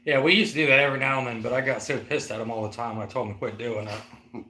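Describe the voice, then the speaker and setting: A man's voice: medium pitch, fairly even intonation, fast talker, sharp tone, echoey room. A man in his forties co-hosting a casual live-stream chat, rambling and teasing, with lots of "like". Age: 40s. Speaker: co-host.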